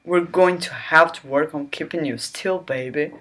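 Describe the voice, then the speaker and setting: A woman putting on a hushed seductive man. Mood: murmuring. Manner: low hushed murmur, slow and breathy. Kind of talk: mock-dramatic reading of a romance novel.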